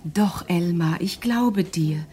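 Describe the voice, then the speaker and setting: Zaghafte, verliebte Frau, oft halb geflüstert. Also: female voice, lowish voice, takes it slowly, warm tone. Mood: hesitant, trusting. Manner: hesitant, often half-whispered. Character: soft, hesitant, devoted woman in love. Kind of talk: German radio drama acting.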